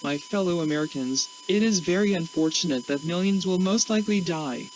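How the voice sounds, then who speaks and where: A man playing a grave government spokesman, reading a formal, solemn scripted emergency address with measured phrasing over faint hum and hiss. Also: sits high, close to an everyday voice, low-quality recording.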